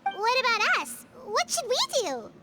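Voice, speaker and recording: Squeaky little fairy sidekick. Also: female voice, measured pace, very noisy, full character voice. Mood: curious. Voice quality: squeaky, childlike. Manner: speaks of herself in third person, excitable. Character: small childlike floating fairy companion, female, perky and curious. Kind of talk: video game voice acting. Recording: loud music under the voice.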